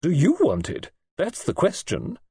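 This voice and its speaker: A man's voice: a little high, huge pitch swings, a little slow, creaky, warm tone, breathy edge, dry recording, pitched above the performer's own voice, heavily acted. A man reading an audiobook, voicing a robust, imperious elderly aristocratic woman who talks in a forthright, snappish way. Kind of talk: audiobook character voice.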